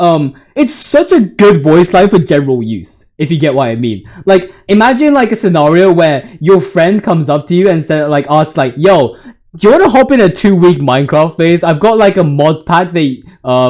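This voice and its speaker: Young male gaming commentator, high voice, excitable and slangy, loose rambling delivery. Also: normal speed. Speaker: video host. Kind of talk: casual video game commentary.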